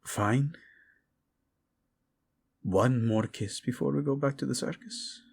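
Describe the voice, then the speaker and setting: A man's voice: mid-range voice, takes it slowly, vocal fry, darkish tone, husky, heavily acted. A man acting a passionate, protective male royal in a romantic audio drama, devoted to his queen, intimate and commanding, using Spanish endearments. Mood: tender, teasing. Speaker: voice actor. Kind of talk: romantic audio roleplay drama addressed to the listener.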